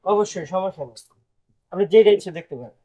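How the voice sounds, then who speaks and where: Male shopkeeper, colloquial Bangladeshi accent, high pitch, spontaneous defensive replies with repetition. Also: fast talker, mellow, poor audio. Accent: colloquial Bangladeshi regional. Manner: defensive, repeating himself. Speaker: shopkeeper answering inspectors. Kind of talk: spontaneous explanation under questioning.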